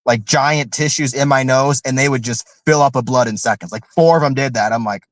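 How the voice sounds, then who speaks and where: A man's voice: mid-range voice, brisk, dry recording. Rambling, slightly nasal man co-host. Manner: rambling, heavy on filler "like". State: recovering from illness, slightly nasal. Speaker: returning co-host. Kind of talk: casual podcast chat.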